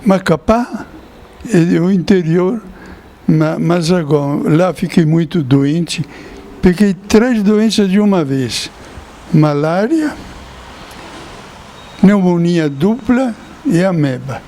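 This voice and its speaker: Homem idoso italiano, fala lenta. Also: male voice, sits high, lively intonation, mellow, slight background noise. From Italy. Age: older. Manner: slow storytelling with chuckles. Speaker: priest. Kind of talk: interview, telling memories.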